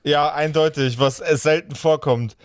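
A man's voice: on the high side, fairly even intonation, normal speed, no room sound. A man running a tabletop game podcast as game master, sardonic, mock-exasperated and sweary in casual talk.

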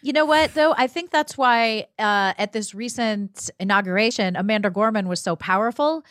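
A woman's voice: medium pitch, moderate pace, a bit bright, no room sound. A woman speaking as a podcast guest in a casual conversational style.

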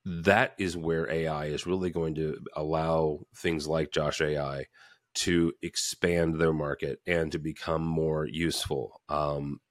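A man's voice: rumbling low, level tone, unhurried, dry recording, clean studio sound. A man with a Midwestern American accent hosting a casual panel talk, rambling with many restarts and fillers. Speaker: fill-in podcast host.

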